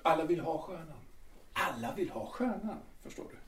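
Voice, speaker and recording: A man's voice: on the high side, animated, recorded from a distance, slight background noise. A man playing a boastful vain stage star. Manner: boastful and rambling, full of hesitations. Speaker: star singer-actor Peter. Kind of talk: audio drama acting. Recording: echoey room, voice often off-mic.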